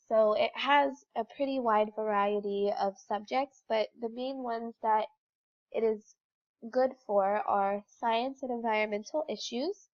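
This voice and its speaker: A woman's voice: fairly high pitch, creaky, a little mellow, highs cut off. A young woman with a congested, stuffy voice, talking through a slideshow presentation in an informal, explanatory way with some fillers. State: congested from a cold. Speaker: student presenter.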